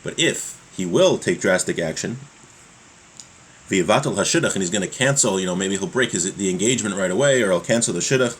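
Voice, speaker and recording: A man giving a religious law lesson, reading from a text and explaining it in a halting way, repeating his points. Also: low voice, lively intonation, a little hiss or hum, rough recording. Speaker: religious teacher.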